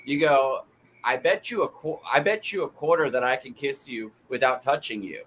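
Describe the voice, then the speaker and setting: A man's voice: mid-range voice, moderate pace, fairly bright tone, slight background noise, poor audio. A man in his thirties telling stories in a casual chat, laughing at his own jokes.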